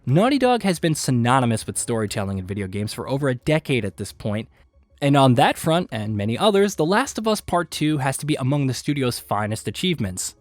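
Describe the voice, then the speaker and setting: A man reading scripted video game list narration with an emphatic, punchy delivery and dramatic pauses. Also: mid-range voice, very animated, dry recording. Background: background music.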